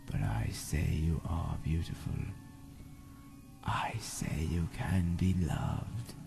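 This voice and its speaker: A man's voice: very deep voice, animated, music in the background, full character voice. Man as a whispering, seductive male vampire. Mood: seductive, tender. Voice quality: hushed, breathy whisper. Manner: slow, intense, romantic wooing. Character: seductive, passionate male vampire stalker, smooth predatory lover.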